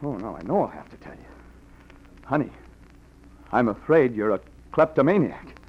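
An actor playing a young reporter in a 1940s radio drama, with brash, fast talk, on a lo-fi old recording. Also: male voice, mid-range voice, big pitch swings, breathy edge.

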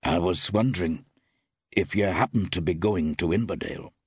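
A man's voice: low voice, normal speed, slightly rough, no room sound, studio quality. A man voicing an earnest, urgent young man in an audiobook story, speaking in an insistent manner. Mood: eager.

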